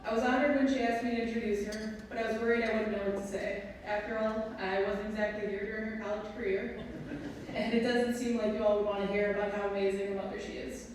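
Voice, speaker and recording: A woman's voice: medium pitch, flat delivery, quick pace, a little mellow. A woman with a Wisconsin accent reading a prepared speech as a presenter at a ceremony. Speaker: presenter introducing her mother. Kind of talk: prepared speech read aloud. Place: large echoing hall at a ceremony. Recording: echoey hall from far, faint noise.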